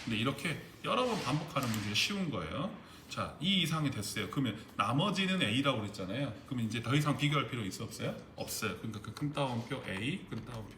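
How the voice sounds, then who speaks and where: A man teaches an exam-prep class in a lively, up-and-down voice, walking through each step and checking in with listeners again and again. The room is echoey, and steady background noise sits under his voice. His pitch sits in a middle range. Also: fast, few pauses. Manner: explains step by step, keeps checking understanding with questions. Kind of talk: recorded lecture on spreadsheet formulas.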